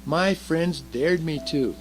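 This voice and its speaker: A man voicing a curious, earnest little girl in a children's storybook, soft and chatty, asking and explaining eagerly. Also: a little high, slow, loud music behind the voice, voice pushed up. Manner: eager questioning, explaining carefully. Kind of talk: children's storybook read aloud.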